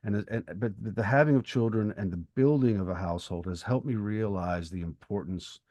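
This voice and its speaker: A middle-aged man with a deep voice speaks in a very dry recording. He co-hosts a literary podcast and talks reflectively, often stopping to rephrase and work out his thought as he goes. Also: normal speed, a little mellow, no room sound. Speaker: podcast co-host and writer.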